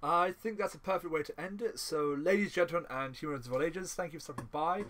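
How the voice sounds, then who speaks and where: Halting man hosting a casual podcast. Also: a little high, fairly bright tone, a little hiss or hum. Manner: halting, repeats phrases. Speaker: podcast host. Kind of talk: casual chat.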